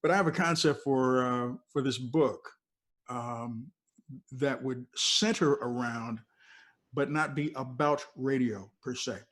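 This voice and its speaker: A man's voice: mid-range voice, takes it slowly, a bit bright. An older man, a veteran radio DJ, talking casually and reminiscing in an informal, conversational way as an interview guest. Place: video call from a cluttered room.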